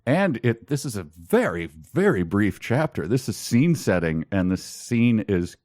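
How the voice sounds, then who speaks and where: A man's voice: on the low side, big pitch swings, unhurried, a little mellow, no echo, clean studio sound. A man co-hosting a podcast in casual chat about a book, with an amused, mock-puzzled and emphatic manner. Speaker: podcast co-host.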